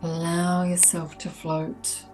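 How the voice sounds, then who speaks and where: A woman leading a guided meditation, speaking gently and in a measured way with long pauses between soothing instructions. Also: fairly low pitch, monotone. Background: soft ambient meditation music.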